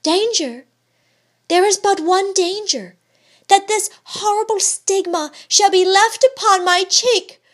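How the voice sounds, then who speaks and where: A woman's voice: high-pitched, unhurried, voice pushed up. A woman reading the part of a devoted young wife in a raised voice, with formal old-fashioned speech. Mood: desperate. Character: devoted young wife, firm yet yielding.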